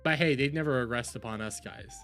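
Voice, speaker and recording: A man's voice: medium pitch, fairly quick, a bit bright, faint music behind. A man giving casual, rambling livestream commentary and reactions in a blunt, emphatic, profane way.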